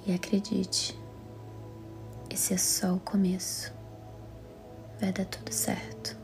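Female Brazilian meditation guide. Soft, breathy, even delivery with very little pitch movement, soothing and measured, music underneath. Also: whispered. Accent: Brazilian Portuguese.

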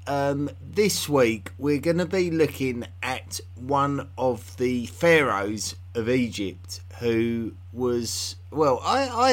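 A man with an English accent hosts his own history podcast, talking unscripted and rambling a little, with frequent fillers and restarts. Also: medium pitch. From England. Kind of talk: unscripted solo podcast talk.

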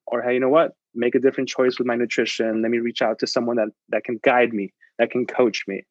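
A man's voice: mid-range voice, level tone, fast talker, no room sound. Male podcast guest, native Spanish speaker, motivational and sincere, lo-fi dry sound. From United States. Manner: earnest, motivational, repeats words as he restarts. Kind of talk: casual interview chat.

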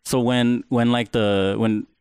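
A man's voice: medium pitch, steady tone, slow pace, a little mellow, clear, resonant tone, dry recording, clean studio sound. A man in his forties from Hawaii, with a light Pidgin accent, chatting casually as a podcast guest, laid-back, with lots of fillers and swearing. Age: 40s.

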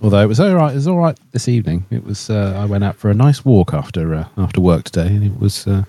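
Mellow, low-voiced man rambling jokily. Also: expressive, fast talker, no echo. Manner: rambling, jokey. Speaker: podcast panelist. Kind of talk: casual chat.

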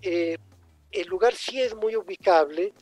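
An older man with a husky, mellow voice who speaks Spanish as a second language, his first being Italian. He answers interview questions thoughtfully, pausing and circling back as he searches for words. Soft music plays faintly behind him. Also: sits high. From born in Egypt, raised in Italy. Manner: reflective, pauses and restarts often. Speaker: writer guest.

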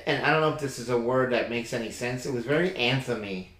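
A man with an ordinary mid-range voice talks through songs in a chatty, animated way and laughs easily as he weighs them up. He sounds like he is in an echoey room. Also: medium pitch, fast talker. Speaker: podcast co-host. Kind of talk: casual music review chat.

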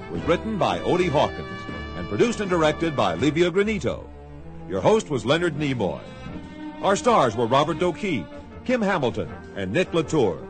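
A man working as a radio announcer, reading scripted broadcast credits in a formal, carefully enunciated way. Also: fairly high pitch. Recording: prominent music behind the voice.

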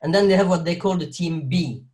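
A young man from Belgium speaks English with a soft French touch. He talks in a loose, rambling way, restarting sentences and laughing often as he tells his story. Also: a little high, a little fast. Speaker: guest actor. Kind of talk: casual interview chat.

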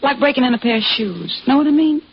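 An actress playing a scheming, hard-boiled mistress in an old-time radio crime drama, insistent, domineering and coolly manipulative. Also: female voice, sits high, animated, fast talker. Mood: coaxing, calculating. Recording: dull, noisy vintage radio recording.

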